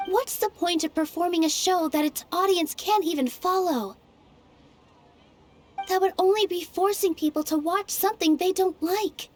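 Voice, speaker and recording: A woman's voice: very high voice, moderate pace. A woman voicing an earnest young female dancer who argues passionately for her theater. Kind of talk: game voice acting.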